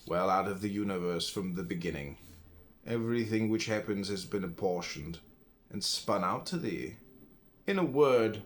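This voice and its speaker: A man reading a philosophy audiobook, stressing key words and phrases with dramatic emphasis. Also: fairly low pitch. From United States. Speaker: audiobook reader.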